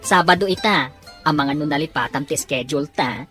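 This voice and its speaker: A woman's voice: low-pitched, brisk, fairly bright tone. A woman voicing a carefree male buddy who coaxes his friend into a night out. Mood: coaxing. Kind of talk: amateur audio drama acting. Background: faint music.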